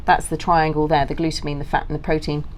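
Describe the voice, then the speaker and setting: A woman's voice: sits low, level tone, fast talker, a little hiss or hum. A woman giving detailed, technical explanations as the guest in an interview.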